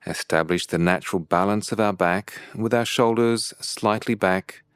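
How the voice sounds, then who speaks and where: A man leading a guided meditation as a teacher, with calm, measured and deliberate phrasing broken by pauses. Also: lowish voice, clear, resonant tone, no room sound, clean studio sound.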